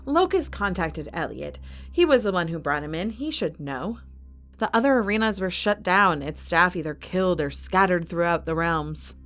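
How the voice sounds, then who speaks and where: A woman's voice: lowish voice, expressive, normal speed, fairly bright tone. A woman playing a composed, imperious villain who runs a fantasy fight arena in an audio drama. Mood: dismissive. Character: cold, commanding female villain running a fantasy fight arena. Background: music.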